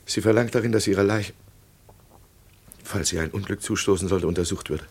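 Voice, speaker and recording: Casting: anguished doctor under suspicion, very deep husky voice, halting and heavy, vintage radio drama. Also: male voice. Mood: anxious, brooding. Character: despairing doctor expecting arrest for poisoning his wife. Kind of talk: 1950s German radio drama acting.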